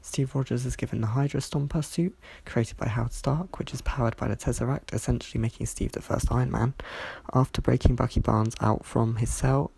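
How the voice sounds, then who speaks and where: Stuffy, gravelly-voiced video reviewer. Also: medium pitch, fairly even intonation, darkish tone, dry recording. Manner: measured, reads from a script with small slips. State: stuffy from hay fever. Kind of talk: scripted review narration.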